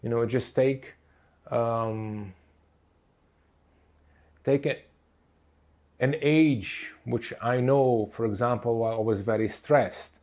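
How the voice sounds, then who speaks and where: A middle-aged man whose first language was Portuguese talks in a reflective, unhurried way, with many pauses and fillers. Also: medium pitch, fairly even intonation, darkish tone. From Lebanon. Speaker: speaker on inner child work. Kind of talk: reflective teaching talk.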